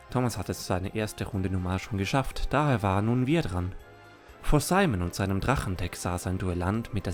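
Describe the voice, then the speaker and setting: A man's voice: fairly low pitch, a little mellow. A man reading scripted first-person narration for a fan audio drama.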